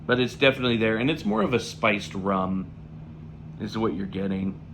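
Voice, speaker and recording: A man with a slightly raspy voice, still getting over an illness, talking through a pipe tobacco review in a relaxed, rambling way with lots of fillers. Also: on the low side, normal speed, noisy background. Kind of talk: casual spoken review.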